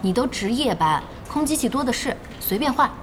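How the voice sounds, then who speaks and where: A woman playing a bossy, outspoken internet-cafe owner and passionate gaming fan in an audio drama, with a brisk manner. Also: on the high side, animated, slight background noise, close to an everyday voice. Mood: brisk, businesslike. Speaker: voice actor in a radio drama. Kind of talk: Chinese audio drama acting.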